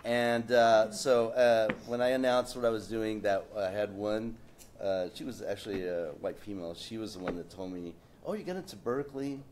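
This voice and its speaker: A man on a discussion panel telling a lively personal story in a casual, animated way. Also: lowish voice, moderate pace, a little hiss or hum.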